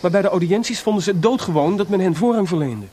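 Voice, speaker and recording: An actor playing a feverishly excited, sleepless and proud male composer in a radio drama, confiding and rhetorical. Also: sits high, quick pace. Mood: earnest, explaining.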